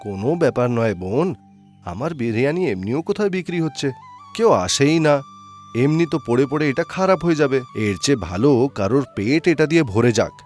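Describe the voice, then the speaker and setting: Man playing a kindly food seller, lively intonation. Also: mid-range voice, moderate pace, faint music behind, close to an everyday voice. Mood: generous, resigned. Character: kindly, generous poor biryani seller. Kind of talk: cartoon story acting.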